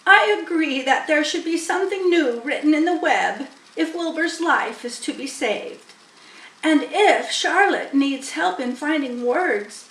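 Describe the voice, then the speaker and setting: A woman's voice: sits high, fairly bright tone, reverberant, recorded from a distance, pitched above the performer's own voice, low-quality recording. A woman voicing a shrewd old sheep who lectures firmly and emphatically in a storybook. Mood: shrewd, confident. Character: old female sheep, shrewd, firm, persuasive barn elder.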